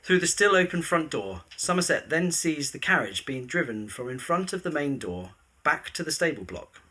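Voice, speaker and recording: A man's voice: fairly high pitch, very animated, moderate pace, sharp tone. A man giving scripted narration of a story, setting each scene in the present tense.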